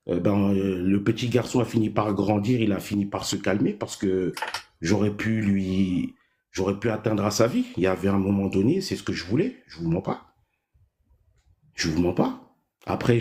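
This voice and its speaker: A man raised in France telling his childhood story as a guest, halting with many restarts. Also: fairly low pitch, a little mellow. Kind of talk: personal testimony.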